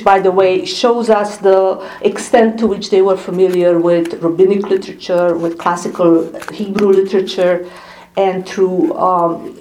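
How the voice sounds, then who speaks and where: Hungarian-accented woman in her 60s giving a scholarly talk, thoughtful, lots of little pauses. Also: fairly low pitch, darkish tone, rough recording. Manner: thoughtful, unhurried, many small pauses and fillers. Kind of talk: public talk at an evening event.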